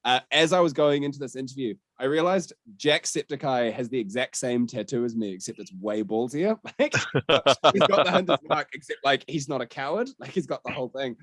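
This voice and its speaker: A man's voice: mid-range voice, fairly quick, fairly bright tone, no echo. A man hosts in a casual, conversational way. His voice sits in an ordinary male range. The sound is dry, with very little room on it. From New Zealand. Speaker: podcast host and interviewer. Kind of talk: casual podcast chat and interviewing.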